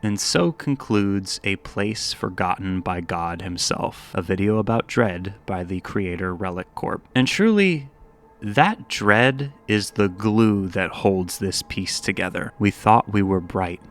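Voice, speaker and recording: A man's voice: lowish voice, expressive, slow pace, fairly bright tone. A man giving video essay commentary, musing and dramatic, shifting between scripted narration and casual, wry asides.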